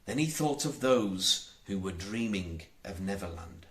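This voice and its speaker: A man reading a children's storybook aloud as an audiobook narrator, in a theatrical, expressive way. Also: lowish voice, measured pace. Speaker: audiobook reader.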